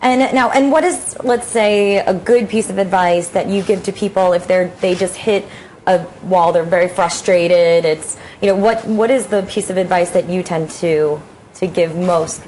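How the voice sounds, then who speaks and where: A woman's voice: on the low side, normal speed, darkish tone, slight background noise, poor audio. Woman hosting a TV interview. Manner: friendly, prompting questions. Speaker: TV show host.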